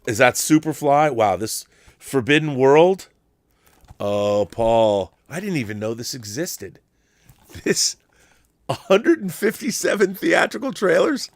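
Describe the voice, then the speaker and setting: A man's voice: mid-range voice, very animated, slow pace, no room sound. A man hosting a live stream in a casual, chatty style, effusive and full of enthusiastic exclamations. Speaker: host of a live stream show. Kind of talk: casual unboxing chat and letter reading.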